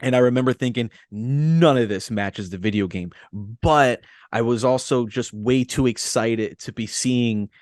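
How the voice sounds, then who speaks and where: A man's voice: medium pitch, unhurried, hardly any pauses, a little mellow, no room sound. Male podcast co-host, mid-range voice, casual storyteller who drops in quoted asides, dry recording. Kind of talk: casual movie discussion.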